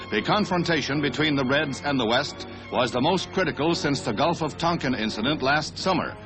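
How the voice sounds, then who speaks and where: A man's voice: medium pitch, low-quality recording. A man with an American accent reading scripted narration in a formal, declamatory 1960s newsreel announcer style. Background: music.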